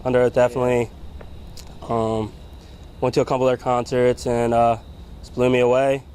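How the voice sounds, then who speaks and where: Halting young man in a band. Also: mid-range voice, level tone, darkish tone, slight background noise. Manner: halting, repeats words. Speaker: band member. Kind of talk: casual interview answers. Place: outdoors at a music venue.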